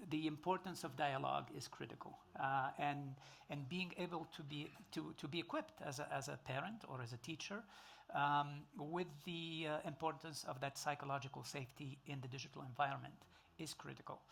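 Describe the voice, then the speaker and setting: A man's voice: a little high, creaky, a bit bright. A middle-aged man with a Tunisian accent, a native Arabic speaker, speaking as a panelist with many fillers and restarts. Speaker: panelist, computer engineer. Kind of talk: panel discussion.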